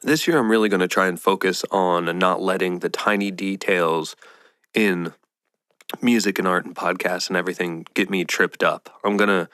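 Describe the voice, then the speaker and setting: A man with a low-pitched voice chats casually as a solo podcast host. He sounds nasal and stuffed up with a head cold, talking informally in a very dry, close recording. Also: a bit bright, dry recording. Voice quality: nasal, congested.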